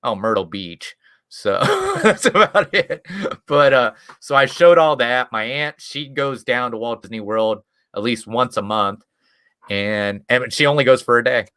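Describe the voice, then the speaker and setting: A Midwestern American man chats on a live stream in a bright, forceful voice. He rambles through stories and restarts often. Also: mid-range voice, big pitch swings, unhurried. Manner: rambling, chatty storytelling with frequent restarts and chuckles. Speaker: guest YouTube creator. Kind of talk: casual chat on a live stream.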